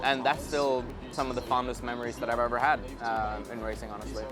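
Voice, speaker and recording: A man's voice: mid-range voice, fast, over music. A young man from Sweden, a racing driver chatting casually as an interview guest. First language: Swedish. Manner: relaxed, easygoing, frequent ums and yeahs.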